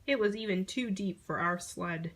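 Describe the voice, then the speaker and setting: A woman reading a children's picture book aloud in an expressive storytelling manner. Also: mid-range voice, normal speed. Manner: expressive storytelling, acting out short exclamations.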